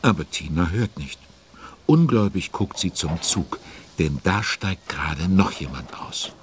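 A man's voice: low voice, expressive, a little slow, slight background noise. A man playing a chatty, curious narrator in a children's radio play. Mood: lively, confiding. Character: chatty, curious male storyteller commenting on the action.

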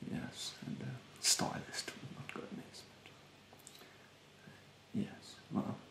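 A young man playing a rude, snobbish, fastidious facial therapist in a soft, half-whispered ASMR roleplay, haughtily polite and hesitant. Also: roomy sound, noisy background, lightly acted. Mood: snide politeness. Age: young adult. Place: bedroom, indoors. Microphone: close mic in front.

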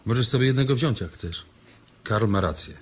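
An actor playing a calm, fair-minded ranch hand in a radio drama, measured and matter-of-fact. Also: male voice, mid-range voice, breathy edge. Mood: calm, reflective.

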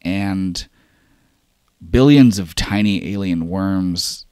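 A deep-voiced man narrates a tabletop game as game master. He rambles loosely, full of fillers and cursing. The recording is dry and close to the mic. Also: extremely slow, mellow, clear, resonant tone, no room sound.

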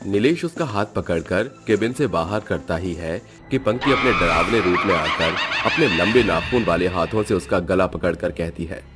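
A man's voice: deep voice, animated, normal speed, hardly any pauses, over loud music. A man working as a storyteller, reading scripted dramatic narration for a horror tale.

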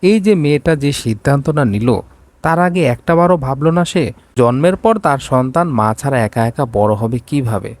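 A man's voice: mid-range voice, animated, moderate pace, darkish tone, no room sound. A man narrating a scripted romantic drama story.

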